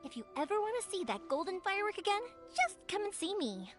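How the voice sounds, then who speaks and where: A woman playing a cheerful, warm-hearted young firework maker in a video game, chatty and sincere, with a girlish voice. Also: very high-pitched, big pitch swings, fast talker. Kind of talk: video game character acting. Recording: music behind the voice.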